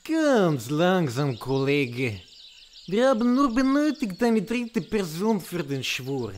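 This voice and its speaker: A man playing a sly, haughty, genderless witch with a foreign accent, slow and theatrical, in a comic audio drama. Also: medium pitch, big pitch swings, a little hiss or hum.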